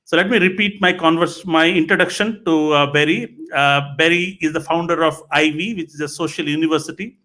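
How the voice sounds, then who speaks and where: Man, podcast host, slightly high voice, halting delivery, repeated phrases, live interview. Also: pushed and bright.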